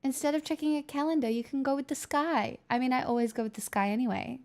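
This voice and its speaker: A young woman chats casually through a livestream, answering viewers, swearing now and then and joking along the way. Her voice is smooth and clear and sits slightly higher than most. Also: fast, darkish tone. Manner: chatty, sweary, joking, answers chat messages. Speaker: livestream host reading chat.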